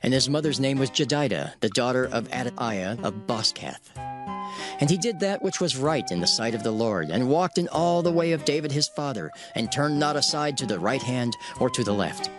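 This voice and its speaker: Male scripture narrator: solemn, measured, very expressive pitch movement, over a music bed.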